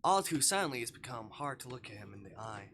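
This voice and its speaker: A man's voice: medium pitch, very animated, fast talker, a bit bright, a little hiss or hum. A man reading a visual novel aloud on a live stream, narrating dramatically and adding casual asides.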